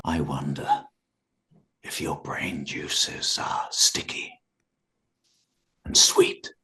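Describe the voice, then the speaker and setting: An actor playing a sinister, murderous fiend who serves a dark lord, hissing in whispers and booming with menace. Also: male voice, big pitch swings, very slow, vocal fry, faint music behind, heavily acted. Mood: creepy craving.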